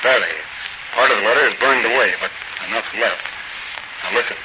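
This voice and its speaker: An actor playing a self-assured masked crime fighter in a 1940s radio serial, earnest and declamatory. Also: male voice, lowish voice, a little fast, lightly acted. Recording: noisy, muffled 1940s radio recording.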